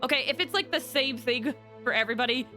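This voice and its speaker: A woman streaming a game with animated, rambling commentary and dramatic outbursts. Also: high-pitched, quick pace, faint music behind. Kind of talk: excited live gameplay commentary and theorizing.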